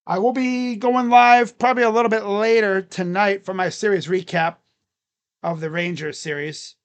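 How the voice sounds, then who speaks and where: A live-stream host giving casual play-by-play of a baseball game in a loud, booming voice, chatting between pitches. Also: fairly even intonation, normal speed, clean tone.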